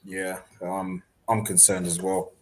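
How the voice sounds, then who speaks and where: A man chatting casually on a football podcast, relaxed and hesitant with fillers. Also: sits low, slow pace. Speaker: podcast co-host.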